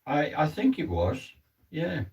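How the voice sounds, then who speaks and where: A man sitting in at a séance who manages the broadcast, answering in casual, halting replies. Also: on the high side, big pitch swings, gravelly, a little mellow, far from the mic, rough recording. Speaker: sitter running the broadcast. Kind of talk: casual chat.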